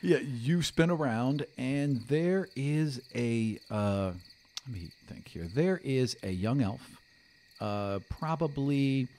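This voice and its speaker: A man's voice: medium pitch, lively intonation, takes it slowly, darkish tone, a little hiss or hum. A man in his thirties improvising narration as game master, close on a podcast microphone in a small room. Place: small studio room, indoors. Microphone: close podcast microphone on a stand.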